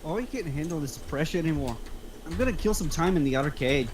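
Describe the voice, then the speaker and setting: A man reads a fidgety, childish crook in a table read. He sounds restless and whiny, fairly high in pitch, with slight background noise. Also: animated, quick pace, natural voice. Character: fidgety, childish, nervous male criminal partner. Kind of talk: online script table read.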